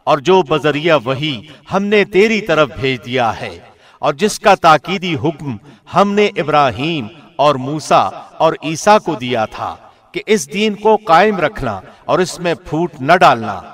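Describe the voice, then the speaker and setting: A man reading a scripture translation as a formal scripted narration, in a measured, solemn manner. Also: fairly high pitch, very animated, fairly bright tone.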